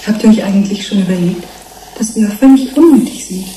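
An actress playing a gentle, resigned young fish-human hybrid in a German radio drama, speaking haltingly and pleadingly. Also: female voice, medium pitch, natural voice. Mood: resigned, questioning. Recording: roomy echo, background noise.

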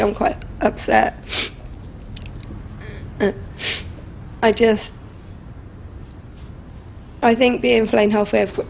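A woman's voice: mid-range voice, vocal fry, darkish tone, slight background noise. A British woman in her twenties, talking candidly as a bride on a reality show. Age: 20s. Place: indoors, bridal shop.

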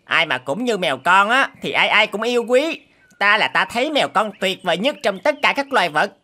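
A woman's voice: medium pitch, very animated, a little fast, bright, forceful tone. A woman voicing a sly, coaxing farm dog in a children's cartoon. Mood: flattering. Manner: coaxing, sweet-talking. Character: flattering, sly, sleepy adult farm dog. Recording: clear music behind the voice.

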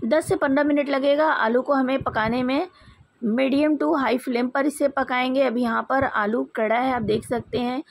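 A woman's voice: high voice, steady tone, moderate pace, gravelly, slight background noise. A woman with an Indian accent narrating a recipe step by step in a methodical, instructional way. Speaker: home cook presenting a recipe. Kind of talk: step-by-step cooking tutorial narration.

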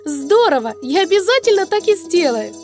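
A woman voicing a cheerful, curious little girl puppet, wide-eyed and expressive, hosting a children's show. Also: very high voice, moderate pace, over music. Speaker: host.

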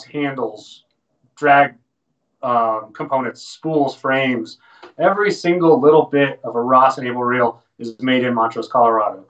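A man's voice: medium pitch, a bit bright. American man, distant dull webcam sound. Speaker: fly fishing shop presenter. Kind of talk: casual informative livestream talk. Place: small shop room indoors.

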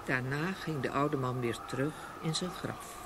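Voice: mid-range voice, normal speed. A storyteller giving a dramatic scripted narration of a fairy tale. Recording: faint ambient noise behind voice.

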